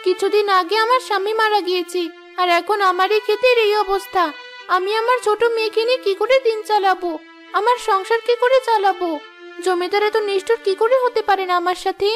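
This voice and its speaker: A woman's voice: very high-pitched, brisk, faint music behind. A woman voicing a humble, earnest young village widow and mother in a children's fairy-tale cartoon, with a plaintive, exclaiming delivery. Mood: anguished lament.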